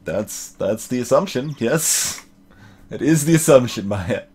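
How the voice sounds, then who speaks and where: A man's voice: medium pitch, lively intonation, a little slow, a little mellow, husky. Man musing aloud over a video game. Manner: musing aloud, repeating phrases. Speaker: let's play host. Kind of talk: casual game commentary, reading dialogue aloud.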